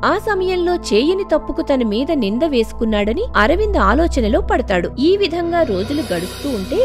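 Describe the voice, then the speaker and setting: A woman's voice: high voice, big pitch swings, a little fast. A woman narrating a scripted moral story, with music playing behind her. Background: background music.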